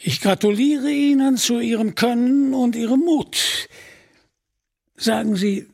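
A menacing sheriff villain from an adventure audiobook, voiced by a male reader. He speaks slowly in a high, husky voice, with false courtesy and condescension. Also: darkish tone, exaggerated voice. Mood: poisonously polite. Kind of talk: dramatised audiobook narration.

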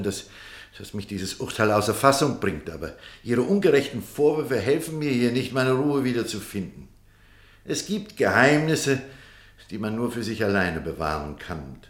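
An actor in a German radio play as an authoritative, defensive surgeon with a troubled conscience, speaking formally and insistently. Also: male voice, on the low side, natural voice. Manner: formal, insistent, brusque with hesitations.

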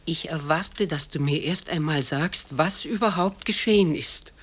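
A slow, husky, anxious elderly mother. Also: low voice. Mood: insistent, pleading. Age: older. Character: anxious, insistent elderly mother, a dignified lady. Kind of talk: German radio drama acting.

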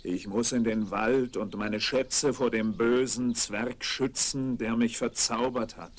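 An actor playing a friendly big enchanted bear, speaking solemnly and reassuringly in a children's fairy-tale radio play. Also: male voice, medium pitch, fairly even intonation, slow, a little hiss or hum, natural voice. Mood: gentle, solemn.

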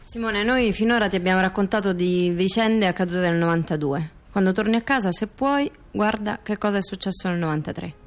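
An actress playing an earnest female intellectual in radio theatre, speaking in a deliberate, declamatory way. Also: mid-range voice, lightly acted. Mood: solemn. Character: earnest female intellectual recounting court rulings. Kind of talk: theatrical address and formal reading.

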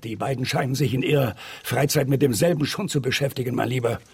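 Gruff, very raspy blustering editor. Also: male voice, medium pitch, quick pace, darkish tone. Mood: scornful. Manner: exasperated, pompous, opinionated, stammering in thought. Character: gruff, blustering middle-aged male newspaper editor. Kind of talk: German radio drama acting.

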